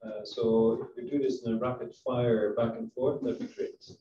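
A man's voice: medium pitch, fairly even intonation, normal speed, mellow. A man informally moderating a panel discussion at a live event. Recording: echoey room, distant mic, dull, faint noise.

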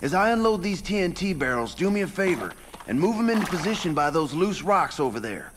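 An actor playing a folksy, rough-and-ready rebel outlaw in a video game. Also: male voice, sits high, very animated, a little fast, background noise. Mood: brisk. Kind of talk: video game western acting.

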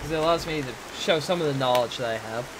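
Hoarse young man streaming a game. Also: medium pitch, quick pace, background noise. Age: young adult. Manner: rambling, laughs at himself. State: hoarse, sore throat. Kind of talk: casual gameplay commentary. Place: room at home. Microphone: headset. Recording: game music playing behind.

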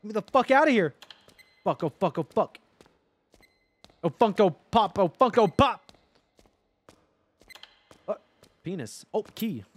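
Young male streamer, high-pitched, swings all over, loud and sweary, headset mic. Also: measured pace, pauses often, breathy edge, dry recording. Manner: loud, swearing, joking, animated. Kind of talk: casual gameplay commentary with chat. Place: home gaming room, indoors.

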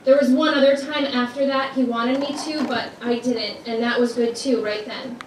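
A woman's voice: high-pitched, steady tone, measured pace, fairly bright tone. A woman performing a stage monologue as a tormented, guilt-ridden woman, blunt and intense, in an echoey space. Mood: haunted confession. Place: competition stage, indoors. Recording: echoey stage, distant mic, faint noise.

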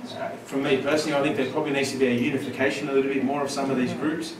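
A man's voice: medium pitch, little pitch movement, rapid-fire, crowd noise. A man in his thirties born in Syria, standing with a handheld mic as a panel speaker, talking conversationally with many fillers and then with growing force. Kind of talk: panel answer at a conference. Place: large lecture room, standing before the panel. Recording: roomy echo, distant camera, audible room noise.

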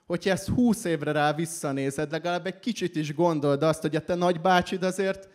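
A young man from Hungary reciting slam poetry live with an emphatic, dramatic delivery. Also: fairly high pitch. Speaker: slam poet performing.

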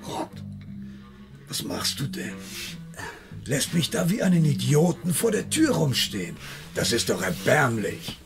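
Pompous menacing debt collector, wildly swinging pitch. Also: male voice, big pitch swings, vocal fry, music in the background. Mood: condescending, calm. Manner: pompous, lecturing, deliberate. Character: menacing male debt collector, pompous, world-weary, ailing. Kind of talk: German radio crime drama acting.

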